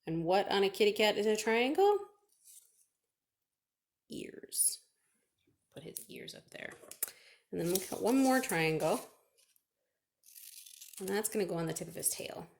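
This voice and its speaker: A woman's voice: mid-range voice, big pitch swings, unhurried, vocal fry, pauses often, darkish tone. A woman chatting through a step-by-step craft for children, asking questions and answering them herself. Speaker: children's librarian leading a craft.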